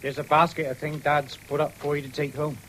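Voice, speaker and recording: Guy playing a young farm son, english country accent, highish bouncy voice, plain spoken, bit of hiss, radio drama. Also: little pitch movement, brisk, fairly bright tone, natural voice. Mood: cheerful, plain. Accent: English rural. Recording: faintly noisy 1970s radio recording.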